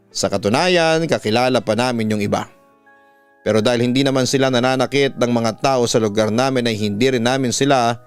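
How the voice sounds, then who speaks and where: A man narrating a scripted horror story like a radio announcer, in a deliberate, suspenseful way. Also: medium pitch, smooth. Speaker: radio announcer reading listeners' horror stories. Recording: music bed under the voice. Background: background music.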